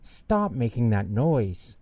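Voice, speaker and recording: A bossy, blustering school principal, as a male storyteller acts him out. He commands and exclaims with a gravelly, swooping storybook voice. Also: medium pitch, sing-song, slow pace, dark tone, dry recording.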